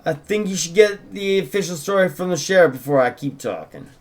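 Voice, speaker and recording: A man's voice: high voice, lively intonation, fairly quick, voice pushed up. A man voicing a courteous, chatty young small-town deputy in a folksy, deferential manner at a script read. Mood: friendly, chatty. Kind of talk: script table read, in character.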